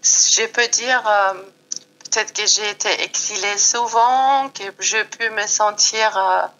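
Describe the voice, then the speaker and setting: A middle-aged woman from Iran, speaking French with a Persian accent, talks reflectively in an interview with many pauses and fillers. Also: mid-range voice, steady tone, fairly bright tone, poor audio. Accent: foreign accent in French. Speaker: guest. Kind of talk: slow reflective interview talk.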